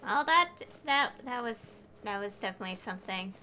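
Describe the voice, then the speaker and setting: A woman's voice: a little high, lively intonation, normal speed, bright, forceful tone, a little hiss or hum. A woman game streamer giving casual live reactions, hesitant and searching for her words. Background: music.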